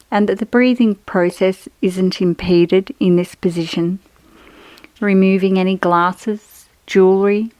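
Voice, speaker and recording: A woman leads a guided yoga relaxation in a soothing, measured voice of medium pitch. She gives gentle instructions phrase by phrase, leaving pauses between them. The sound is close and dry, with very little room around it. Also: clean tone, no echo, low-quality recording.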